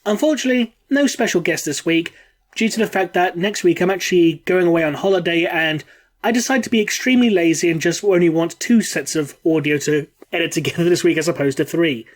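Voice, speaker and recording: A man's voice: fairly high pitch, brisk. A man hosting a casual podcast, a bit bunged up from a cold, joking and laughing often. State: congested from a cold. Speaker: podcast host. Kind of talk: casual chat.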